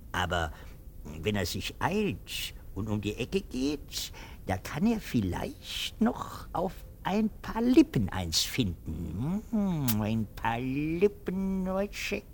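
An actor playing a pompous, sentimental and anxious army captain in a theatrical German radio drama. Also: male voice, mid-range voice, a little hiss or hum. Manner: theatrical, swinging from exclamation to insinuating murmur.

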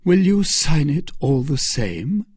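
A man's voice: a little high, sing-song, slow pace, a little mellow, slightly rough, no room sound, clean studio sound, voice pushed up, full character voice. A man voicing an earnest young male officer, intense and pleading, his voice raised and urgent. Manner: urgent, rising, insistent.

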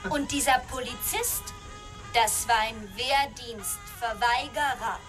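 Unhinged rich girl in a radio drama, coy n childlike, drags her words out, music behind. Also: fairly high pitch. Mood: naive, sly. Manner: slow, coquettish, childlike.

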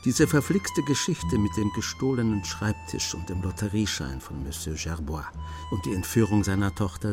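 A writer telling crime adventures in a radio play. His voice is on the low side, phrased with dramatic emphasis, and guitar music plays behind him. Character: male writer narrating a master thief's adventures. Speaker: narrator.

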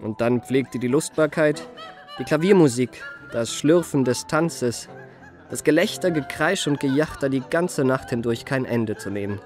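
Man acting young genteel charmer, mid-pitched voice, polished mannered narration, radio play, light music behind. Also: expressive, a little slow, darkish tone, close to an everyday voice. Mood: amused relish. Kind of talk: literary first-person narration in radio play.

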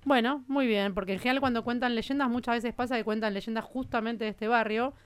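Woman, Rioplatense accent, radio host, quick questions, fairly high voice, fast talk. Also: fairly even intonation, clear, resonant tone. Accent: Rioplatense Spanish. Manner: quick, interviewing children. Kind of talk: casual chat.

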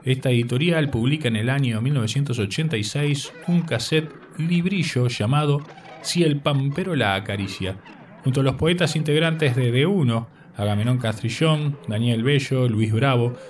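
A man from Uruguay, reading a prepared radio text as the presenter in a measured way. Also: medium pitch, far from the mic, a little hiss or hum. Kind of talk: scripted radio narration.

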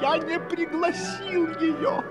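Fussy nervous palace flunky guy, fawning and stammery, really high voice, fairy tale play. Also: steady tone, takes it slowly, music in the background. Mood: frightened. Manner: stammering, obsequious, pleading. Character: flustered, timid, fawning male court master of ceremonies.

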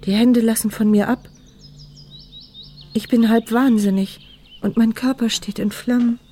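An actress voicing a tense, wry wheelchair user. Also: female voice, on the high side, fairly even intonation, measured pace, dark tone, a little hiss or hum, natural voice. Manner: inner-monologue narration, tense and sardonic. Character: paralysed woman in a wheelchair, frightened and wry. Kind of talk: radio drama narration.

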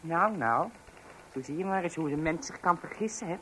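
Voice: mid-range voice, lively intonation, normal speed, pushed and bright. An actor playing a tactlessly frank, incredulous office acquaintance in a radio play. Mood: astonished, blunt. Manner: incredulous, blunt. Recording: noisy old radio play recording.

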